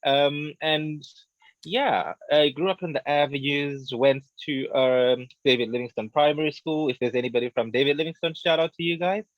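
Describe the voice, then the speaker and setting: A man in his thirties from Zimbabwe, a book author chatting as a guest in a radio interview, relaxed and quick to laugh, often repeating his words as he thinks. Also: fairly high pitch, no echo, dull audio. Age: 30s.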